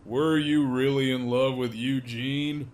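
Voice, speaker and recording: A man playing a cocky, sardonic middle-aged captive villain in an audio drama, with a gravelly, teasing drawl. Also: medium pitch, fairly even intonation, slow, a little hiss or hum, close to an everyday voice. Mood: wry, teasing. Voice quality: gravelly, creaky.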